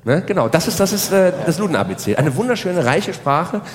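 A man's voice: high voice, very animated, fairly quick. Rambling man doing stand-up in a reverberant hall. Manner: rambling, repeatedly seeking agreement with tag questions. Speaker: stand-up comedian. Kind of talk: spoken stage comedy monologue.

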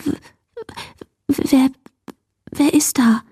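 A woman voicing a frightened little girl in a bedtime story, speaking in a hushed whisper. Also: fairly high pitch, animated, moderate pace, breathy edge, no echo, clean studio sound, heavily acted.

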